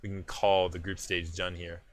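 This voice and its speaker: A man's voice: deep voice, level tone, normal speed, slight background noise. A man with a Canadian accent co-hosting an esports show, reading out match results in casual chat with frequent restarts.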